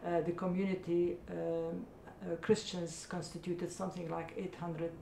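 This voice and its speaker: Middle-aged woman from iraq, low gravelly voice, lots of uh's, echoey room w/ faint rumble. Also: flat delivery, moderate pace, warm tone. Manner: hesitant, many uh fillers, earnest. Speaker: charity founder. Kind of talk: interview answers.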